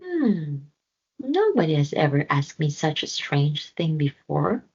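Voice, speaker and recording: A woman's voice: low-pitched, big pitch swings, measured pace, darkish tone, breathy edge, muffled recording, heavily acted. A woman voicing a hesitant, puzzled stork in a children's storybook, musing without hurry. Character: hesitant, puzzled jabiru stork, mildly grumbling. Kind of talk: children's storybook read-aloud.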